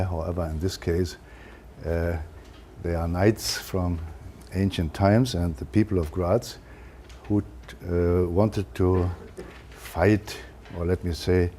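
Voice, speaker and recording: Male lecturer, German accent, lowish mellow voice, measured and halting, academic delivery. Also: slight background noise. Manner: careful, halting delivery with frequent uh fillers. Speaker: university lecturer.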